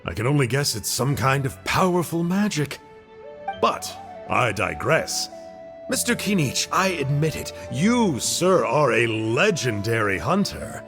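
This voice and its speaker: An actor playing a chirpy, cheeky villain mage in a video game, chatty, theatrical and mock-polite. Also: male voice, mid-range voice, big pitch swings, a little slow. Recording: loud background music over voice. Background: music.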